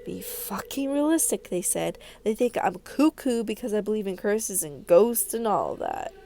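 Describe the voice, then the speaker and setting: A woman's voice: mid-range voice, expressive, normal speed, dark tone, slight background noise, close to an everyday voice. A woman voicing a frightened, superstitious real estate agent in a horror visual novel, with dramatic, anxious delivery. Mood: indignant.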